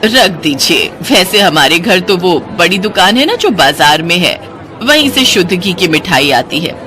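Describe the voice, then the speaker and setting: A woman's voice: lowish voice, big pitch swings, moderate pace, sharp tone, some chatter behind. Snobbish older mother-in-law played by a woman for a story cartoon, needling and patronizing, music underneath. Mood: condescending. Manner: pointed, patronizing. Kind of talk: Hindi moral-story cartoon dialogue.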